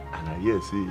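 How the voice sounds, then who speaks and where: An actor with a Nigerian Pidgin accent playing a young man who teases his friend with excitable exclamations. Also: fairly low pitch, full character voice.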